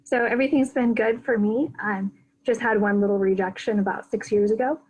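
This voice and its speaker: Husky soft-spoken woman guest. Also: mid-range voice, fairly even intonation, fairly quick, low-quality recording. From Argentina. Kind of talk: casual video-call chat. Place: home in Texas.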